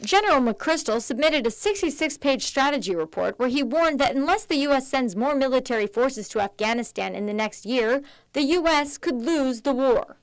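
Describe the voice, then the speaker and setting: A woman's voice: fairly high pitch, no echo. A woman delivering scripted television news reports as a field reporter.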